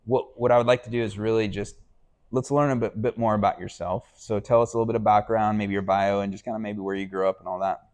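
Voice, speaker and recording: A man's voice: fairly low pitch, fairly even intonation, quick pace, darkish tone. A man interviewing a guest as show host, talking in a chatty, conversational way and repeating himself for emphasis.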